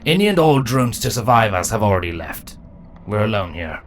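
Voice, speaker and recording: A man's voice: lowish voice, very animated, fairly quick, a little hiss or hum. A man voicing a dutiful, anxious changeling drone captain who speaks deferentially in a fantasy story reading. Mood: dutiful, reporting. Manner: deferential, hesitant, nervous. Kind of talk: dramatic fan fiction audio reading.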